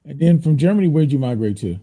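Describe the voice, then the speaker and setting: Very dark-voiced man hosting. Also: fairly high pitch, animated, fast talker. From United States. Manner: laid-back, chatty, repeats words as he thinks. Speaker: podcast host. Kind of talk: casual interview chat.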